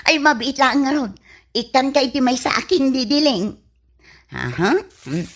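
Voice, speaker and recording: A woman's voice: high-pitched, animated, moderate pace, fairly bright tone, full character voice. A woman playing a nosy, skeptical moneylending shopkeeper auntie with an Ilocano Filipino accent in a comedy radio drama, incredulous and questioning. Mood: businesslike, skeptical.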